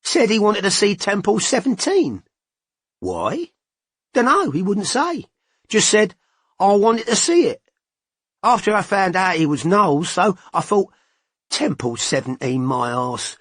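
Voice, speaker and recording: A man voicing a cocky, smug man with a husky voice, in an audiobook, blunt and slangy. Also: high voice, big pitch swings, a little slow, long pauses, fairly bright tone, dry recording, studio quality, voice pushed up, full character voice. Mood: gossipy. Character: cocky working-class English man, chatty, smug. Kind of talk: audiobook character voice.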